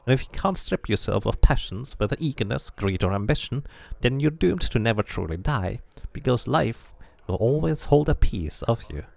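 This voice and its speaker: A man's voice: mid-range voice, sing-song, normal speed, gravelly, hardly any pauses, darkish tone, dry recording. A man voicing a cranky, scornful old woman who gossips and mutters insults in a fantasy game. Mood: bitter, lecturing.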